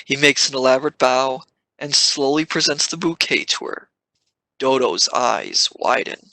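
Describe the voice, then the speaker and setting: A man's voice: mid-range voice, lively intonation, measured pace, gravelly, bright, forceful tone, dry recording, low-quality recording. A man reading scripted scene narration for an audio drama.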